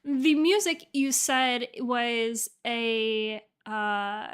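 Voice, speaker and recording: A woman hosting a casual livestream reaction chat, enthusiastic and gushing in a halting way. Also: on the high side, steady tone, clear, resonant tone. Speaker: livestream host and voice actor. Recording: faint music behind.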